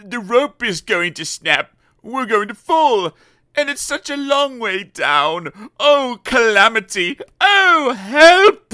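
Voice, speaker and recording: A woman's voice: sits high, very animated, a little slow, few pauses, sharp tone, no echo, studio quality, pitched above the performer's own voice, full character voice. A woman voicing a timid little man character who cries out in a panic. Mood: panicked.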